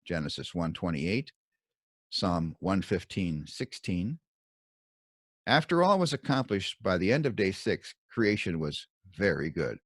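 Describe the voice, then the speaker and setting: Male narrator, lowish voice, expressive pitch movement, deliberate phrasing, scripted scripture commentary, dry close sound. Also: no room sound. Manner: measured, deliberate phrasing in short segments. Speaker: author reading his own commentary. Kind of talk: scripted audiobook-style Bible commentary narration.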